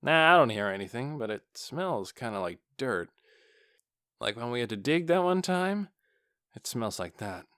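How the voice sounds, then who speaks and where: Man acting a chatty night guard, animated. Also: mid-range voice, normal speed, clear, resonant tone, dry recording, studio quality. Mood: uneasy. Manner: colloquial, laid-back griping. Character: casual, chatty male night-watch guards, uneasy but joking. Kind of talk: audiobook character dialogue.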